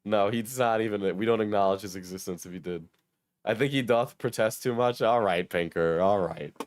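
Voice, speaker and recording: A hoarse young man with a cold, joking and swearing casually as he reads his chat on a livestream. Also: lowish voice, normal speed, no echo. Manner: joking, self-mocking, swears. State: hoarse, sick. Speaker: streamer reading chat.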